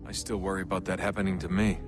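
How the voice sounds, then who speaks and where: An actor playing an earnest, slightly uneasy young assassin in a video game, speaking in a casual, understated way. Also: male voice, deep voice, level tone, rapid-fire, music in the background, lightly acted. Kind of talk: video game cutscene acting.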